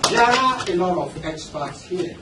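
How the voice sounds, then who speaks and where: Nigerian guy doing a motivational business seminar, highish voice, picked up from far off in a roomy space. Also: lively intonation, measured pace. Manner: conversational, engaging the audience with questions and check-ins. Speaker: business coach and seminar speaker. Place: indoor seminar room with an audience. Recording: roomy, noisy seminar hall, distant mic.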